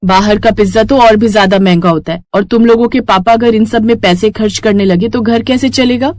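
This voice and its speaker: A woman's voice: on the high side, a little fast, a little mellow, dry recording, studio quality, close to an everyday voice. A woman voicing a patient young mother and daughter-in-law, earnest and reasoning, in a cartoon moral story.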